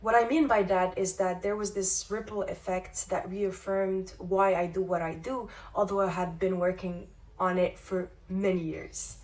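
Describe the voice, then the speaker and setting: A woman's voice: on the low side, fairly even intonation, unhurried, a little mellow, far from the mic, poor audio. A woman giving a determined, impassioned personal statement as a feminist advocate.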